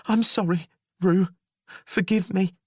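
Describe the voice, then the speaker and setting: Man putting on a female jailer, desperate, weeping, high pitch, loud, dry. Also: normal speed, no echo. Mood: frantic. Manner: pleading, panting, frantic.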